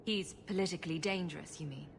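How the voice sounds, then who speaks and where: Dry sarcastic rogue, noisy game audio. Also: female voice, lowish voice, quick pace, creaky. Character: sarcastic female rogue hero, young adult.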